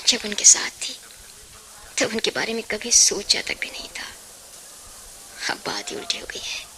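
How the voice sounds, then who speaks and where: An actress in a radio play voicing an anguished, self-sacrificing young woman in love, with an earnest, breathy, emotional delivery. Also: fairly high pitch, bright, forceful tone. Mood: sorrowful, resolute. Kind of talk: Hindi radio play acting.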